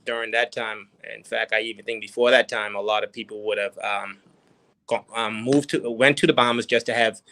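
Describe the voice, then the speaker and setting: Man, from the Turks and Caicos Islands, interview guest, bright voice, hesitant with ums, remote call. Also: on the low side. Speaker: guest entrepreneur. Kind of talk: casual interview answers.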